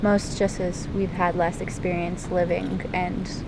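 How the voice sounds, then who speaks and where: Hesitant teenage girl over loud rumble. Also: flat delivery, measured pace. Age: teens. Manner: hesitant, many ums and likes. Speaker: interviewee, lifeguard and swimmer. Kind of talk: casual interview answers. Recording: loud rumbling background noise.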